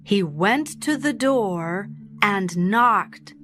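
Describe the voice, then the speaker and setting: A woman narrating a children's story in a slow, scripted style for English learners. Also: medium pitch, expressive, fairly bright tone, soft background music.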